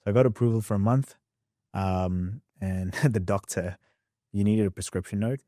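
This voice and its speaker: Animated man with Australian-English accent. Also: on the low side, no room sound, studio quality. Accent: mixed Australian and English. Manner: animated, quick storyteller who acts out past scenes. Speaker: podcast guest. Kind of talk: candid interview storytelling.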